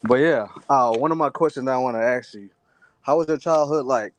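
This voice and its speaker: A man's voice: mid-range voice, a little fast, slight background noise. Laid-back young man from Sudan. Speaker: caller. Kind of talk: casual chat.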